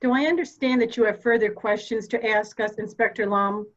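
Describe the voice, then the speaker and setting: A composed widow played by a woman with a slightly high voice, heard in dull, lo-fi audio. She is polite, formal and controlled, in a murder mystery reading. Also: fairly even intonation, brisk, close to an everyday voice. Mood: guarded, formal.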